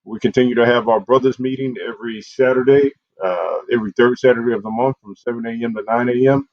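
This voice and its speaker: A man's voice: medium pitch, steady tone, fast, dry recording. A man hosting an online community program and reading out announcements over a video call. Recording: dull, lo-fi video call audio.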